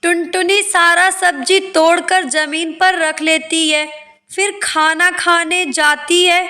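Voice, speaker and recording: A woman's voice: extremely high pitch, level tone, slow pace, sharp tone. A woman reading a scripted children's story as its narrator.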